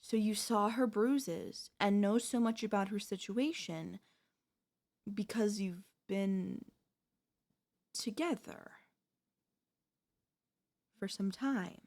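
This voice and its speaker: A woman playing a devoted, possessive wife in an ASMR audio roleplay, speaking haltingly with deliberate dramatic pauses. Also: mid-range voice, a little mellow. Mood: anxious, stunned. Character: devoted wife, shocked then eerily composed, quietly possessive.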